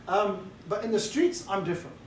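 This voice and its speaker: A middle-aged man, giving a relaxed, jovial briefing to a group and mixing in cheeky banter. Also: high-pitched, normal speed. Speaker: street preaching trainer. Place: indoor room with a group. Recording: roomy echo, distant mic, faint background noise.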